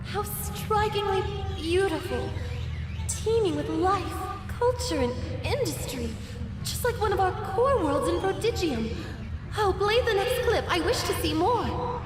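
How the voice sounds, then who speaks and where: Regal young game empress, very high voice, slow swooping delivery, prim, dramatic, easily flustered. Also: female voice, lively intonation, echoey room. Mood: wistful, awed. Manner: haughty, formal, dramatic. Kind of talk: video game cutscene acting.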